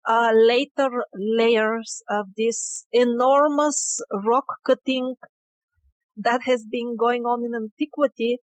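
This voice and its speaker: A woman's voice: fairly high pitch, steady tone, slow pace, no room sound, low-quality recording. A woman interview guest explaining her field findings conversationally, with emphatic but hesitant phrasing. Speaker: interview guest, independent researcher.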